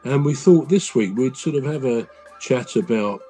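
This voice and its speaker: Man, podcast co-host, rambling casual chat, husky tone, music faint underneath. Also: medium pitch, lively intonation, normal speed. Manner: rambling, self-correcting, gently humorous.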